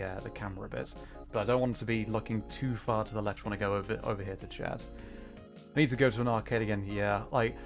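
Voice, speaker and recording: A man livestreaming a video game, chatting casually and thinking aloud in short reactive bursts. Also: lowish voice. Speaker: livestream host, VTuber. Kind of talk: casual livestream chat while playing a racing game.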